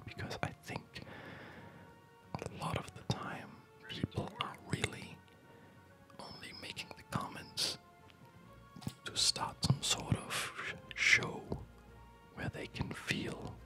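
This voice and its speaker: A man whispering breathily in a gentle, unhurried, rambling way, as host of an ASMR gaming video, close to the microphone. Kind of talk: whispered ASMR chat over gameplay.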